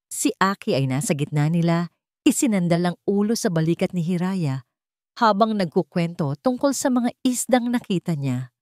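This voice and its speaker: A woman's voice: on the low side, expressive, normal speed, a little mellow, no echo, studio quality. A woman with a Filipino accent reading a scripted dramatic story as its narrator. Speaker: narrator of an online story channel.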